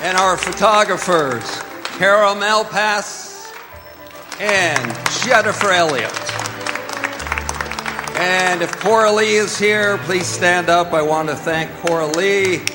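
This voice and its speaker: Slow, ceremonious male radio theatre announcer. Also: high-pitched, crowd noise. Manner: measured, ceremonious delivery. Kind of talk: formal old-time radio announcing. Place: performing arts centre stage before an audience. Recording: reverberant hall with music and audience.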